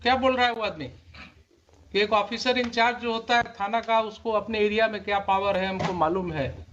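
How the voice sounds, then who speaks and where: A man's voice: high-pitched, fairly even intonation, brisk, fairly bright tone. A man with an Indian accent speaking as a judge in court, stern and admonishing, asking pointed rhetorical questions and mixing Hindi with English. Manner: switches between Hindi and English. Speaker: judge presiding over a court hearing. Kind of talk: courtroom questioning and oral directions. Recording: muffled courtroom audio, faint noise, clicks.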